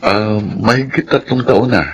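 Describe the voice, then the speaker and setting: An actor with a Filipino accent playing a kind, patient young suitor in a radio drama, mild and polite. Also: male voice, fairly low pitch, a little slow, slight background noise, close to an everyday voice, low-quality recording. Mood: friendly, mild.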